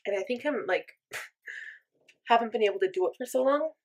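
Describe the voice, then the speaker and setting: A woman's voice: on the high side, lively intonation, moderate pace. A young woman chatting casually while doing her makeup, rambling and self-deprecating, full of "like". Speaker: makeup vlogger.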